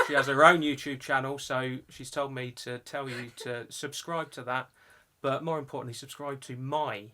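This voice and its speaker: A young adult man presents a casual vlog. His voice sits at a middle pitch, and he talks in an informal, chatty way. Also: vocal fry, pushed and bright. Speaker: video channel host. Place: indoor music studio.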